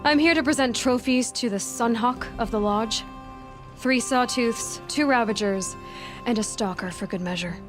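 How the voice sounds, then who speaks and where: An actress playing a determined outsider huntress in a video game, speaking in a direct, firm way. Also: female voice, fairly high pitch, normal speed, music in the background, lightly acted. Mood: firm, proud.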